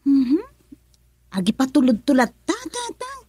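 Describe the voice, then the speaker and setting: A high, animated voice of a caring young daughter in a radio drama. Her tone swings widely as she soothes and chides, recorded dry and close. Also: measured pace, no echo, exaggerated voice. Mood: reassuring. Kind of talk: Ilocano radio drama acting.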